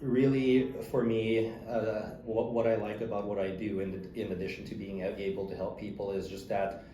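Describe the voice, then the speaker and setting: A man from the Philippines, talking politely and haltingly as an interview guest. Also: on the low side, flat delivery, dark tone. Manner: hesitant, polite, halting with repeated words. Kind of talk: casual interview talk. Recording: echoey room with clear background noise.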